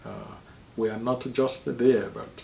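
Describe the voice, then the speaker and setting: Slow, gravelly Nigerian priest. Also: male voice, on the low side, fairly even intonation, a little mellow, echoey room, a little hiss or hum. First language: Igbo. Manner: slow and hesitant, many pauses and fillers. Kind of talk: interview, telling his life story.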